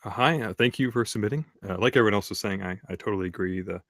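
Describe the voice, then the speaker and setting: Hesitant man with a low voice. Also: fast talker, a bit bright, no echo. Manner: hesitant, repeating words. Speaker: voice actor giving script feedback. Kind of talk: informal spoken feedback.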